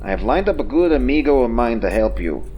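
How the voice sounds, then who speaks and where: A man's voice: medium pitch, sing-song, a little fast, dull audio, a little hiss or hum, natural voice. A man playing a nervous, theatrical starship engineer in a sci-fi audio drama. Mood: reassuring. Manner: theatrical, sprinkles in Spanish exclamations.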